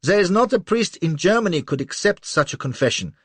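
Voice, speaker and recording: A man voicing a timid little male church official who pleads in a high, squealing, frantic voice. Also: expressive, a little fast, breathy edge, no echo. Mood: pleading. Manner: frantic and whimpering.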